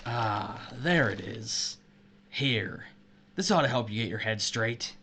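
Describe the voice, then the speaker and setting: Guy playing a betrayed husband gone killer, sharp forceful voice, sarcastic and toying with his victim, audio drama. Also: fairly low pitch, expressive, slight background noise, exaggerated voice. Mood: mock soothing. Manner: taunting, sardonic, drawn-out deliberate menace. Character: betrayed, vengeful husband turned sadistic murderer, missile silo worker.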